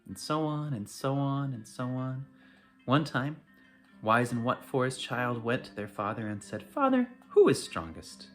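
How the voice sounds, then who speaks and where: A storyteller reading a bedtime folk tale aloud in an expressive, dramatic way. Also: mid-range voice, unhurried, faint music behind. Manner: dramatic, animated delivery. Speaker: storyteller reading to a school community.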